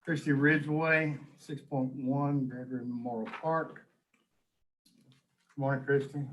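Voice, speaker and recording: A man's voice: medium pitch, fairly even intonation, measured pace, fairly bright tone. A man presiding over a local government meeting, calling up speakers in a courteous, informal way. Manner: courteous, informal, says sir and ma'am. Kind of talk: procedural meeting moderation. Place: county meeting room. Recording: faintly noisy meeting room microphone.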